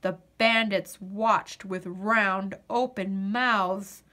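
A woman reading a children's picture book aloud, dramatic and deliberate, with drawn-out pauses. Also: medium pitch, fairly even intonation, sharp tone. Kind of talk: expressive children's storybook narration.

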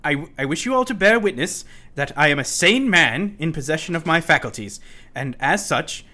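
An unhinged asylum patient, formal wording, voice swings up and down, kinda sharp, radio play. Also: male voice, on the high side, expressive, moderate pace. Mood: desperate pleading. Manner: formal, urgent, given to sudden chuckles. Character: unsettled, pleading, well-spoken asylum inmate, man.

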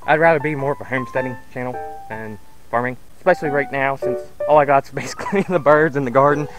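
A man's voice: mid-range voice, quick pace, over music, rough recording. A man, giving a rambling, folksy walk-and-talk tour of his backyard farm on a camera mic, outdoors. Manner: rambling, folksy, thinks aloud. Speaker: homesteading vlogger. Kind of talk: casual walk-and-talk vlog.

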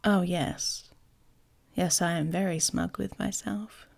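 A woman playing a smug, playful young werewolf pack leader in an intimate ASMR romance roleplay, teasing and often slipping into a breathy whisper. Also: fairly low pitch, fairly even intonation, takes it slowly, darkish tone, heavily acted. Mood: cheeky, smug.

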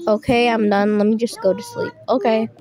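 A young adult woman improvising an animated game roleplay, narrating each action in an offhand way. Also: on the high side, a little fast, a crowd in the background. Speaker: roleplay storyteller voicing all characters.

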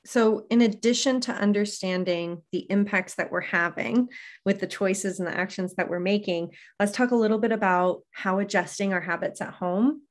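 Woman, thirties, panel host, medium pitch, casual moderating style, video call. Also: moderate pace. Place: indoor room on a video call.

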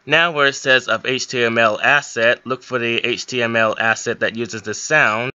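A man's voice: medium pitch, steady tone, moderate pace, bright, forceful tone, smooth, no echo, dull audio. A young man giving an informal, step-by-step screen tutorial with a somewhat hesitant way of explaining. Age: young adult. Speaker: hobbyist game modder giving a tutorial.

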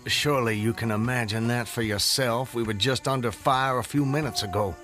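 Proud Southern gentleman, acted by a man, over music. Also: medium pitch, steady tone, fairly quick, natural voice. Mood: indignant, impatient. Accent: American South. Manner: formal, florid, indignant speech. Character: proud, honor-bound Southern gentleman, escaped from the noose. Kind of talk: radio drama acting with dramatic storytelling. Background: music underscore.

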